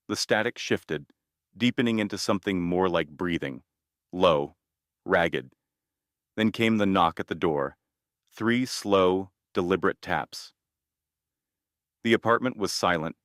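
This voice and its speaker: Low-voiced man narrating horror, close and dry. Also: no echo. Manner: measured, ominous storytelling in short phrases. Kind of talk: scripted scary-story narration.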